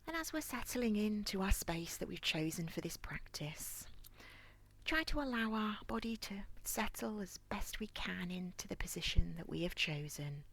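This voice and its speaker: A woman leading a guided body scan meditation in a soft, near-whispered, breathy voice with a calm, gentle, soothing manner. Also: mid-range voice, moderate pace. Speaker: guided relaxation instructor.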